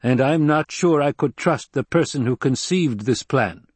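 A man's voice: mid-range voice, measured pace, breathy edge, dry recording, pitched above the performer's own voice. A male narrator voicing a bearded, blunt and wary desert planetologist who answers curtly. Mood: guarded. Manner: curt, terse replies.

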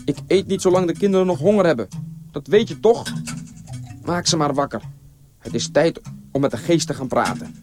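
A man's voice: a little high, normal speed, long pauses, over music, pitched above the performer's own voice, natural voice. A man voicing a proud, hungry, grumbling village hunter and father in a folktale, blustering and strained. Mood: weary, firm.

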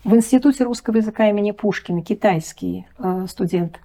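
Mellow-voiced woman, slow hesitant radio talk. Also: mid-range voice. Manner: hesitant, many fillers, slow thoughtful phrasing. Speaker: guest presenting a student project. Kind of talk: radio discussion, reading and retelling texts.

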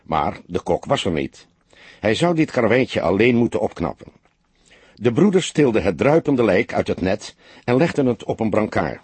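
A man the reading a crime story as an audiobook, in a measured, expressive storytelling manner. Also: lowish voice, slightly rough, no echo. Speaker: audiobook narrator. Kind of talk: scripted literary narration of a crime story.

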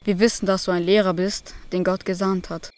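A man's voice: high-pitched, little pitch movement, fairly quick, clear, resonant tone, lightly acted. An actor playing a respectful, puzzled male religious leader in a scripture audio play, with a questioning manner.

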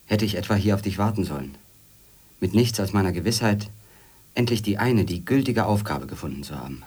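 A man playing a haunted, bitter, obsessive former editor in a radio drama, brooding in halting literary monologue that often sinks toward a whisper. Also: on the low side, lively intonation, darkish tone, slightly rough. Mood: reproachful, insistent.

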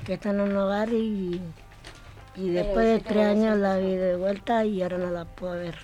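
A woman's voice: mid-range voice, steady tone, normal speed, over music. A middle-aged Argentine woman, an adult student, giving a personal account in a radio interview. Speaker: adult student taking part in a radio play.

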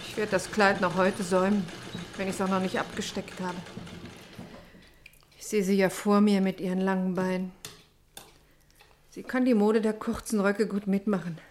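A woman's voice: medium pitch, level tone, normal speed, pauses often, slight background noise, natural voice. An actress playing an anxious, brittle pastor's wife and mother in a German radio play. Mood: wistful, determined.

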